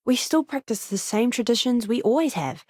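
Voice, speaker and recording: Earnest young female ship's medic, high-pitched. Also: animated, normal speed, a little mellow, husky, no room sound, studio quality. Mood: cheerful, curious. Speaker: ship's doctor and botanist. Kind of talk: video game voice acting.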